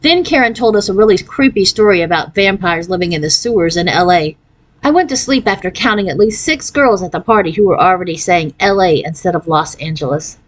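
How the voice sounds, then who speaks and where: A woman's voice: on the low side, animated, a little fast. A woman reading a children's story aloud as scripted narration, shifting into character voices for the dialogue. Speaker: audiobook-style reader.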